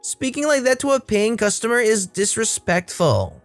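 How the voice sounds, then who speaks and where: A shrill, high, demanding middle-aged woman shopper, as a male narrator puts her on, swooping up in pitch as she insists. The sound is dry and close, with faint music underneath. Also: wildly swooping pitch, moderate pace, no echo, full character voice.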